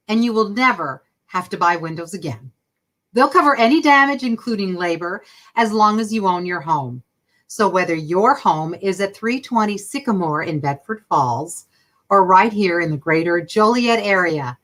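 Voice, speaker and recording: A woman's voice: mid-range voice, a bit bright. A woman announcer reading a scripted radio commercial. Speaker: advertisement announcer.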